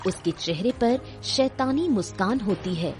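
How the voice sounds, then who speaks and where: Woman storyteller for a cartoon: scripted narration, slow and measured, light music bed. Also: medium pitch, lively intonation.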